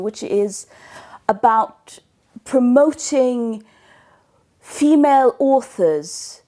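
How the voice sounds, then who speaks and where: Slow, thoughtful Norwegian-born woman blogger. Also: a little high, dark tone. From Norway. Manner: thoughtful, searching for words, hesitant. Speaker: book blogger. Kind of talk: casual vlog talk to camera.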